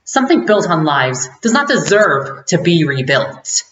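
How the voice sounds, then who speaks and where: An actor playing a bitter, vengeful rebel who addresses a powerful leader in a theatrical, deliberate dramatic monologue. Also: medium pitch, sing-song, fairly bright tone. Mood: vengeful, gloating. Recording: muffled, echoey room recording.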